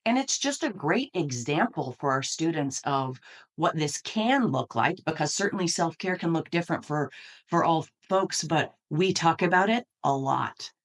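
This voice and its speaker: A woman's voice: deep voice, lively intonation, moderate pace. A middle-aged American woman giving earnest, explanatory answers as an interview guest. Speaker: university dean, interview guest.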